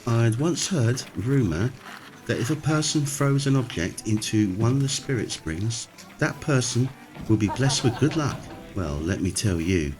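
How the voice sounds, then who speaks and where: A man as earnest adventurer, fantasy audio drama, lively swings in pitch, emphatic storyteller, music underneath. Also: a little slow, lightly acted, low-quality recording. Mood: recounting. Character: earnest adventurer man insisting on his fairy sighting.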